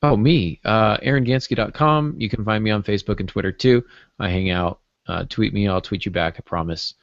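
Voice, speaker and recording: A man's voice: on the low side, fairly quick, dry recording. A man chatting casually and with dry humour as a podcast co-host. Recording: dull, dry lo-fi online-call audio.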